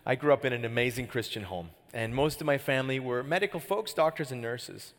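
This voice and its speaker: Chatty pastor in a reverberant hall. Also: male voice, medium pitch, animated, a little fast, a bit bright. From Canada. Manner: chatty, jokey, asks the crowd questions. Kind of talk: sermon.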